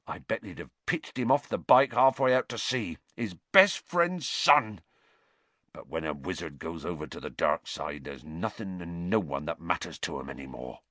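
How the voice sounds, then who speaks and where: A man's voice: deep voice, lively intonation, moderate pace, fairly bright tone, dry recording. A man voicing a big, fierce, loyal groundskeeper in a storybook reading. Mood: bitter, hurt. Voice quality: growling.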